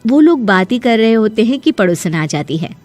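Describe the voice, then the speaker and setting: A woman's voice: on the high side, big pitch swings, normal speed, darkish tone, no room sound, faint music behind. A woman reading scripted narration as the storyteller of a cartoon tale.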